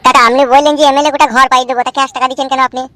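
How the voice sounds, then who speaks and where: A man's voice: very high-pitched, flat delivery, very fast, sharp tone. A man voicing a meek, fretful young village husband in a Bengali cartoon, pleading and deferential, with a rural West Bengal accent. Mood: deferential, puzzled. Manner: pleading, deferential, stammering when upset. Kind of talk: comic cartoon dialogue. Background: background music.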